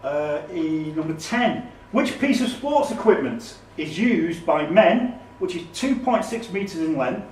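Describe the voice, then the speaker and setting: A man's voice: on the high side, expressive, measured pace, hardly any pauses, a little mellow. A man acting as quizmaster, reading out questions and ruling on answers at a live quiz. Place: echoey indoor room at a live quiz event. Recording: distant mic in echoey room, background noise.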